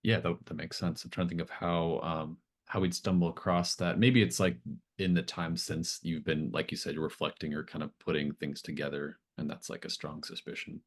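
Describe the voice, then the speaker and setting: Very deep-voiced male game master. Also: level tone, moderate pace. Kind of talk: running a tabletop roleplaying game, casual and improvised.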